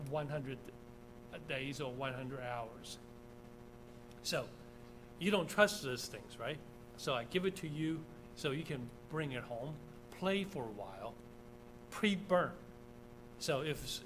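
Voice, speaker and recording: A man from Taiwan giving an informal engineering lecture over slides, often checking in with "okay?" and filling pauses with "uh". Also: mid-range voice. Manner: informal, repeats "okay?", many "uh" fillers. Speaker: engineering professor. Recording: steady background hum.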